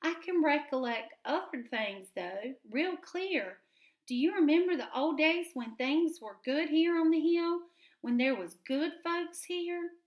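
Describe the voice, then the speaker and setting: A woman's voice: sits high, voice pushed up. A woman voicing a forgetful old male gray squirrel who rambles in folksy, rustic talk.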